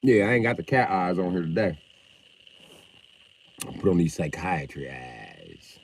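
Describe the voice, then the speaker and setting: A man chatting casually on a livestream, loud and profane, with an animated delivery. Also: deep voice, unhurried, gravelly, a little hiss or hum.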